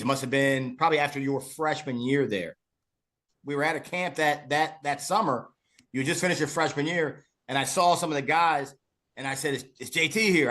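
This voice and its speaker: A man's voice: a little high, fairly bright tone. A man co-hosting a football podcast, chatting casually and rambling with repeated words and restarts. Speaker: podcast co-host, former PE teacher. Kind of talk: casual interview.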